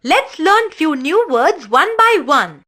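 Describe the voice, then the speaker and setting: Woman, kids' show presenter, high voice, sing-song, cheerful, teacherly. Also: big pitch swings, takes it slowly, sharp tone. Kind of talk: teacher-like explaining to kids.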